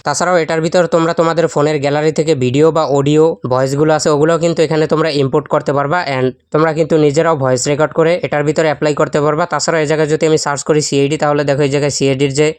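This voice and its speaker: Very fast, flat-toned male tutorial host. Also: fairly high pitch, smooth, no room sound. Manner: addresses viewers directly, step by step. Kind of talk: instructional screen-recording voice-over.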